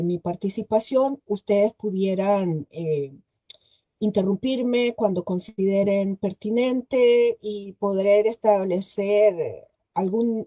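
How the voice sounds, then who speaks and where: A woman's voice: medium pitch, mellow, dry recording. A middle-aged woman with a Costa Rican accent, giving a presentation to a virtual forum, hesitating often with filler sounds. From Chile. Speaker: guest speaker.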